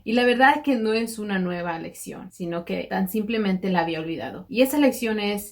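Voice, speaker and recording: A woman vlog host delivering a scripted personal reflection in an earnest, encouraging manner. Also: mid-range voice.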